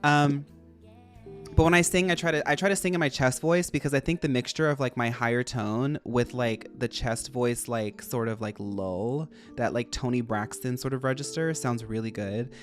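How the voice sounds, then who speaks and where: A streamer with a high, squeaky, nasal voice, chatting casually and animatedly while playing a game live. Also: moderate pace, soft background music. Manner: chatty, animated, laughs often, talks to the game and chat.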